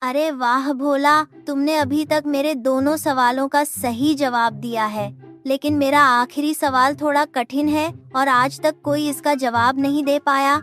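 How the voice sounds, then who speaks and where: A woman's voice: sits high, steady tone, measured pace, fairly bright tone, faint music behind. A woman voicing a greedy, scheming male village clerk in a children's cartoon, sly and taunting. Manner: sly taunting, repeats a catchphrase.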